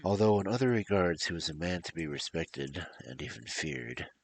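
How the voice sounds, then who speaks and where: Man, extremely low pitch, gravelly fry, scripted story narration, deliberate and theatrical. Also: animated.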